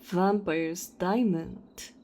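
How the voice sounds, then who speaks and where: A woman playing a cold, ruthless vampire hunter in an audio roleplay, speaking with deliberate, taunting contempt. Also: on the low side, mellow, slight background noise. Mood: dismissive, ruthless.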